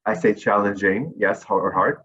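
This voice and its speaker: A man's voice: fairly low pitch, normal speed, a bit bright, slightly rough, lo-fi sound. Man telling rambling stories. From Lebanon. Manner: rambling, many fillers and restarts. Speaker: interview guest. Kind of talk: casual storytelling.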